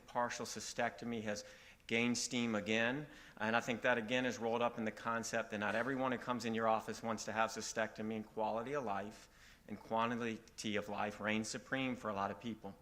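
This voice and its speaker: A man's voice: fairly low pitch, brisk. Folksy man giving a lecture. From Virginia, southeastern United States. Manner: relaxed, folksy, frequent "uh" fillers. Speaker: conference speaker. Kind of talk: medical conference lecture.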